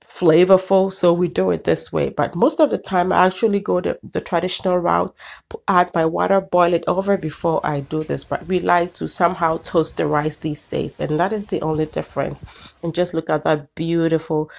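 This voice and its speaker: A middle-aged Ghanaian woman hosts a cooking video outside on her patio, talking the viewer through each step. Her voice sits low and carries a gravelly edge. Food sizzles in the pan beside her. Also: fairly even intonation, moderate pace. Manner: warm, chatty, explains each step. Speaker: cooking channel host. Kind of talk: cooking demonstration. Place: outdoor backyard patio at a grill. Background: sizzling pan, birds.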